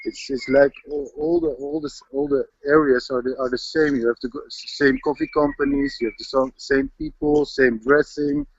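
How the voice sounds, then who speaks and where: A man from the Netherlands with a Dutch accent, answering interview questions in a halting way, often repeating words while searching for them. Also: on the high side, wildly swooping pitch, creaky, husky. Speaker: local resident. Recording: dull lo-fi audio.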